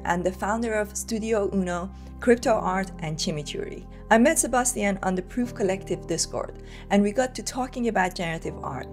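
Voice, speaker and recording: A woman's voice: medium pitch, darkish tone. A woman hosting a podcast, talking conversationally as she interviews a guest.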